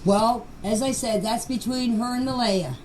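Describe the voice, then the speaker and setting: High-voiced older woman, plain-spoken, noisy recording. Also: moderate pace, a little mellow. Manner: plain-spoken, folksy, repeats phrases. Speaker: grandmother recounting memories. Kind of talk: informal personal recollection. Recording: clear background noise, amateur voice clip.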